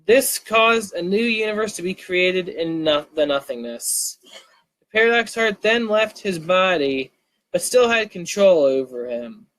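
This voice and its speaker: Male live storyteller, fairly high voice, informal reading with small stumbles and restarts. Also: expressive, measured pace, a bit bright, low-quality recording. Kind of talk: live story reading, animated narration with casual asides.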